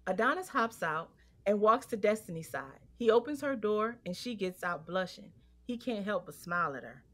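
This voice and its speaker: A woman's voice: mid-range voice, expressive, moderate pace, a bit bright. A woman with an American accent narrating a scripted story as its storyteller. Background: music.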